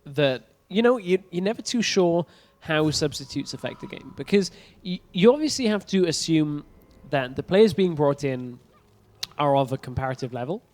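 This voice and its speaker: A man casting an esports match, teasing his co-caster with wry humor during a pause. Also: on the high side, animated, a little mellow. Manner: wry, teasing, upbeat filler talk. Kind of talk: casual caster banter. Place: event stage in a venue.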